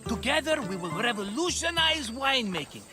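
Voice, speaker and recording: Theatrical Greek merchant, scheming and excitable, extremely high voice, music behind. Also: male voice, brisk. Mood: grandiose. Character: scheming, boastful, excitable male Greek would-be wine merchant. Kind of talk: video game cutscene acting.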